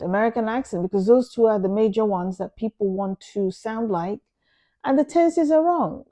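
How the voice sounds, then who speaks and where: A Nigerian woman, a native Igbo speaker, talking to camera in an earnest, emphatic way, explaining her views at a measured pace. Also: a little high, warm tone. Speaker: vlogger. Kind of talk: opinion monologue to camera.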